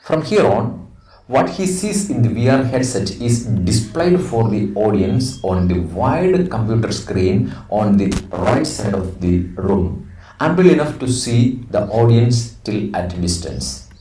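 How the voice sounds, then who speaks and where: A middle-aged man with an Indian accent teaches an English lesson, reading a script aloud and explaining it in a deliberate, didactic way with emphatic phrasing. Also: lowish voice, very animated, warm tone, a little hiss or hum. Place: indoor room. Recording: roomy echo, distant microphone.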